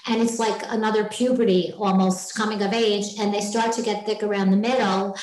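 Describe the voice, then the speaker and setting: A woman's voice: mid-range voice, level tone, brisk, a little mellow, roomy sound, slight background noise, rough recording. A woman in her sixties hosting a casual interview, talking with effusive enthusiasm. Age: 60s. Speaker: podcast host.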